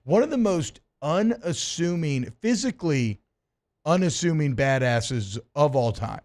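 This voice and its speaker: A man hosting a sports radio talk show in a studio, animated and casual, with lots of restarts and the odd curse. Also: on the high side, unhurried. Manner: animated, swearing, rambling with restarts.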